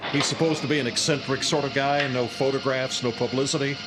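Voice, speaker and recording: A man acting a flustered, excitable police inspector in a live radio play, blustering and stammering. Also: medium pitch, a little fast, noisy background, lightly acted. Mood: worried. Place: public library live performance before audience.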